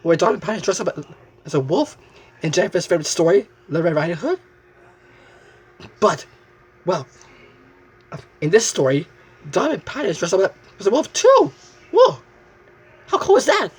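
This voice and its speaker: A man presents a story show to his viewers with lots of enthusiasm. His voice is high and husky and swings up and down a great deal. He halts and repeats phrases as he goes. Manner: excitable, halting with repeated phrases.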